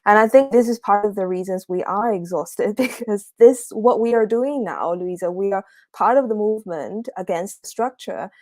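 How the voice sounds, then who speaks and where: A woman's voice: mid-range voice, moderate pace, mellow, no echo. A young woman from China, a PhD researcher, giving a livestreamed academic talk on a video call, hesitant with many fillers and restarts. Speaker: guest speaker, PhD researcher.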